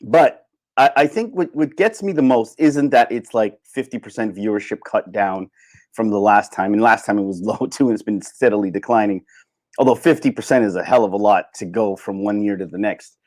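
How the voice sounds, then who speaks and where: A man hosting a solo livestream in casual chat, rambling and halting as he thinks aloud. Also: fairly low pitch, a little mellow. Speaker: livestream host.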